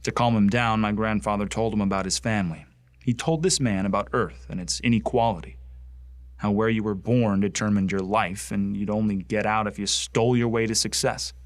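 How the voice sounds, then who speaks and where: An actor playing a bitter, zealous young Martian recruit in a science fiction radio play, speaking with earnest, deliberate contempt. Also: male voice, lowish voice, fairly even intonation, no room sound, clean studio sound, close to an everyday voice. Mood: solemn, reverent. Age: young adult. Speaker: actor in an audio drama.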